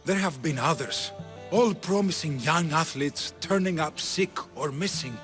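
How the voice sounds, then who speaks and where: A man's voice: fairly high pitch, unhurried, fairly bright tone, faint music behind. An actor playing a caring, flirtatious male caretaker in a video game cutscene. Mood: worried.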